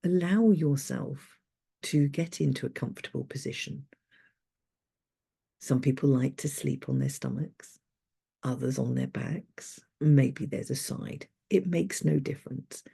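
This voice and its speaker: Slow, soft-spoken woman guiding sleep meditation. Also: rumbling low, creaky, husky. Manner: soothing, unhurried, gently instructive. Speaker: sleep coach and meditation guide.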